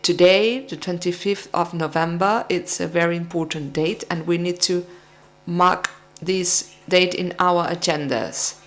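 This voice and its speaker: A woman from Peru speaking slowly and deliberately at a conference. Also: lowish voice, fairly even intonation, soft background music. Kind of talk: conference speech.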